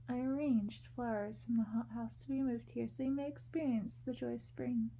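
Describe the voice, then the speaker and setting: A woman's voice: on the high side, steady tone, moderate pace, warm tone. A woman voicing a moody, impatient prince with a curt manner in a storybook. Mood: tender. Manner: curt, commanding.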